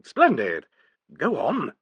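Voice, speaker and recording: A man voicing a brisk police inspector who speaks crisply and coaxingly. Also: fairly high pitch, huge pitch swings, dry recording, dull audio, pitched above the performer's own voice, exaggerated voice.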